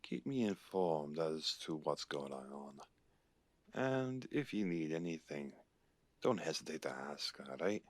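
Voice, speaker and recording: A man playing a guarded, seasoned werewolf pack leader with an Irish accent in an audio roleplay, speaking in a measured, grave way. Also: a bass voice, very animated, no room sound, heavily acted. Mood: warm, cordial.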